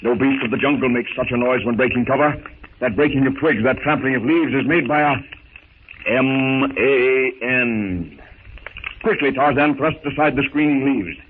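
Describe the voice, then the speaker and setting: A man's voice: medium pitch, moderate pace. Theatrical male narrator on tinny old radio. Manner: theatrical, declamatory. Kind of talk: dramatic 1930s radio narration. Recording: scratchy, tinny 1930s radio recording.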